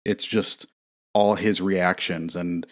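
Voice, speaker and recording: Male podcast co-host, low voice, laid-back and thoughtful. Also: steady tone, slow pace. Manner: easygoing, thoughtful, laughs often. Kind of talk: casual movie podcast chat.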